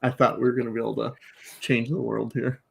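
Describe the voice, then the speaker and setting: A man chatting as a podcast guest, playful and quick to interject in casual banter. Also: medium pitch, little pitch movement, gravelly, husky.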